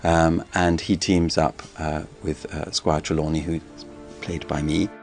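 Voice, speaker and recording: A male actor discusses a production in a halting, appreciative way. His voice is deep, with a little music underneath. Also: level tone. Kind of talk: interview about a production.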